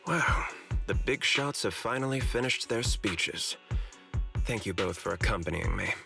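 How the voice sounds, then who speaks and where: An actor playing a candid, politely ambitious corporate security chief in a video game, speaking in an articulate, confiding way. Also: male voice, lowish voice, expressive, a little slow, creaky, pushed and bright, full character voice. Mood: genial. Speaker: corporate manager character. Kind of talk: video game story dialogue. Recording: music behind the voice.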